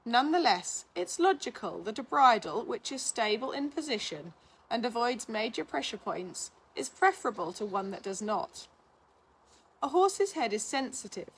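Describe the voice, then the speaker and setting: A woman reading a scripted article aloud in a measured, instructional manner. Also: on the high side, big pitch swings, fairly bright tone, breathy edge, rough recording. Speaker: reader of a blog, physiotherapist.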